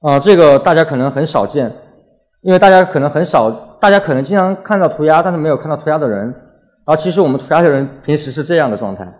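A man's voice: a little high, fast talker. A young man from Wuhan giving a casual talk as a graffiti artist, colloquial and full of anecdotes, acting out little exchanges as he goes. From Wuhan, China.

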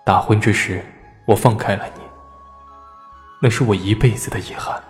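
A man's voice: lowish voice, normal speed, close to an everyday voice. A man playing an embittered, lovelorn young noble husband in a Chinese historical audio drama. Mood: grieving, devoted. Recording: long reverb, faint music behind.

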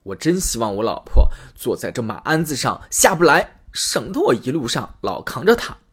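A man's voice: medium pitch, sing-song, normal speed, a bit bright, husky. A man voicing a greedy rich man who frets and wheedles in a storybook tale. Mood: grumbling. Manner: wheedling, fretful.

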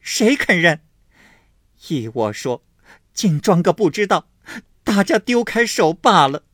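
A reader voicing a spiteful, scheming young wife who sneers and wails accusations in a storybook reading. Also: female voice, extremely low pitch, sing-song, slow pace, breathy edge, no echo, clean studio sound, pitched above the performer's own voice, exaggerated voice. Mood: scornful shrill.

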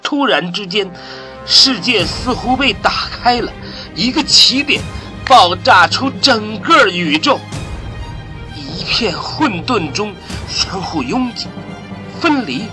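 A man with a high voice narrates a science-fiction radio drama over prominent music. He is solemn and dramatic, pausing weightily and sweeping widely in pitch. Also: animated.

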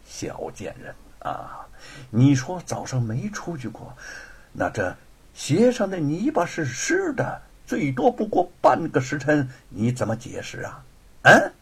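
A man voicing a domineering, hot-tempered master of the house in an audiobook story, gruff and suspicious. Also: on the high side, wildly swooping pitch, measured pace, voice pushed up, exaggerated voice. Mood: menacing.

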